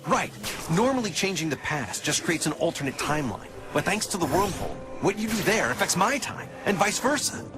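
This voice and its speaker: An urgent superhero from the future for a video game. He is serious and insistent, ordering and explaining with a very expressive delivery. Loud music runs behind him. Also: sits high, fairly quick.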